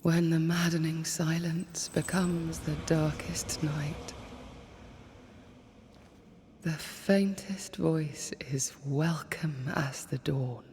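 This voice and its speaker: A woman's voice: low-pitched, steady tone, takes it slowly, few pauses, darkish tone, slight background noise. An actress playing a somber woman in a video game, speaking poetically in a whispery voice. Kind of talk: dramatic video game acting.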